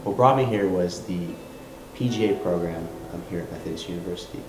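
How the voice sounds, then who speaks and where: A young man from Michigan, a college senior, answering interview questions with many pauses and fillers. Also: low-pitched, roomy sound, noisy background. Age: young adult. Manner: halting, many ums and uhs. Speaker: student interviewee.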